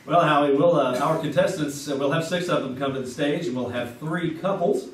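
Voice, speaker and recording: A man's voice: a little high, a little fast, lightly acted. A man as an upbeat 1950s radio announcer. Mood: explaining. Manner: upbeat announcing, patient explaining. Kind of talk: stage radio comedy. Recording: echoey theater from far off, faint music.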